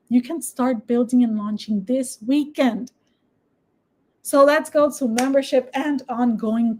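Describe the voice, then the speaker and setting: A woman from the Dominican Republic, a native Spanish speaker, speaking English with a Spanish accent and a somewhat high voice. She walks viewers through software on screen in a brisk, persuasive teaching style. She keeps stopping to check in with a quick "okay?". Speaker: online business coach. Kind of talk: screen-share tutorial and sales pitch.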